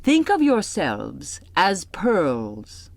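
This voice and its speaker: A woman's voice: fairly low pitch, huge pitch swings, creaky, no room sound, exaggerated voice. Woman voicing a pious, condescending older female instructor. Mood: savoring, pious. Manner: pious, condescending, savoring long pauses.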